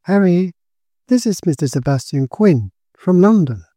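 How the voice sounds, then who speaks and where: A man's voice: sits high, lively intonation, unhurried, dark tone, no room sound, studio quality, voice pushed up. A man voicing a distressed, pleading young woman in a gothic horror story. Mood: anxious. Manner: pleading, upset.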